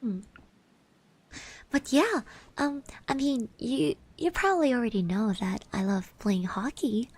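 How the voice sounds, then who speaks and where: A woman voicing a shy, sweet, chatty girl classmate in a soft-spoken, hesitant ASMR roleplay. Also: fairly high pitch, lively intonation, measured pace, breathy edge. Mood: gentle interest. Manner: hesitant, gentle, refers to herself in third person.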